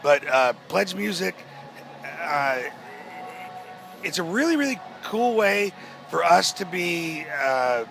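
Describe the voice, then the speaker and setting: A man in a band answering interview questions at a festival, his voice naturally hoarse, talking in a halting way with many restarts and fillers. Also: high-pitched, very animated, a bit bright, faint music behind. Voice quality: hoarse-sounding. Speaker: rock band member. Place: outdoors at a music festival.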